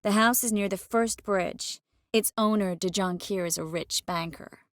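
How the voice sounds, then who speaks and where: A young sorceress from a fantasy video game, played by a woman, recorded very dry and close. She is caring and passionate with a wry edge, speaking at a medium pitch in an intimate, expressive, clearly articulated way. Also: unhurried, no room sound, lightly acted. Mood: measured, grave.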